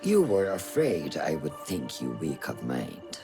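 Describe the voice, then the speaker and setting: An actor playing a stern, wise mentor of a hidden assassin order in a video game, speaking gravely, formally and deliberately. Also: male voice, rumbling low, huge pitch swings, over music, heavily acted. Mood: firm counsel. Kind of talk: dramatic video game acting.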